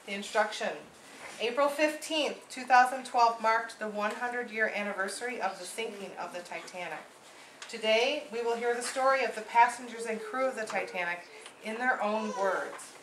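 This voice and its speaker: A woman's voice: fairly high pitch, moderate pace, a bit bright. A woman acting as host of a library event, introducing a readers theater performance. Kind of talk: informal introduction, then read aloud script. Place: indoor library event room. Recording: echoey room with faint noise.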